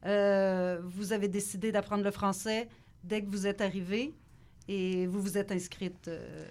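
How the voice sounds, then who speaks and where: Radio host full of fillers. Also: female voice, medium pitch, steady tone, measured pace, darkish tone. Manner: hesitant, many fillers and self-corrections. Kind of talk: radio interview.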